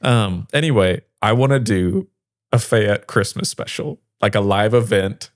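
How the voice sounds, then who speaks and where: A man's voice: on the low side, a little slow, dry recording, clean studio sound. An American man hosting a podcast, speaking casually and conversationally in a joking, self-deprecating way. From small-town Missouri, United States. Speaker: podcast host.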